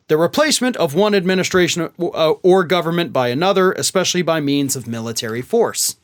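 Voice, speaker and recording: A man's voice: on the high side, a bit bright. A man hosting a political commentary show, speaking in an emphatic, opinionated way with a sarcastic edge. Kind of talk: opinionated news commentary to camera.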